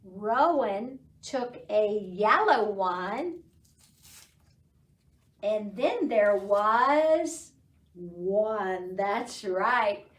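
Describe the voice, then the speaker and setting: A middle-aged woman hosting a children's storytime, reading aloud and talking to young children in an animated, encouraging way. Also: medium pitch, very slow. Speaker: library storytime host. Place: small indoor library room. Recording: roomy echo, distant microphone.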